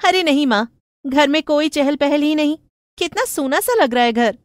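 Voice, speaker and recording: A woman voicing a spirited, modern-minded young sister in an animated moral story, speaking in an eager, insistent, persuasive manner. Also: high-pitched, moderate pace, no echo, pitched above the performer's own voice. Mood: persuading.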